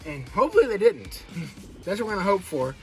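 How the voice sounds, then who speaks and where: A man's voice: sits high, big pitch swings, a little fast, faint music behind. An American man hosting a vlog, talking in a casual, folksy, hands-on way as he narrates what he is doing. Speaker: vlog host. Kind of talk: casual car-project vlog chat.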